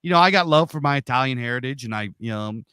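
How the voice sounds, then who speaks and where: Loud guy, 40s, big voice, dry close sound, just chatting as a guest. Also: mid-range voice, lively intonation, quick pace, smooth, no room sound. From Pennsylvania, USA. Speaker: interview guest. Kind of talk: casual storytelling.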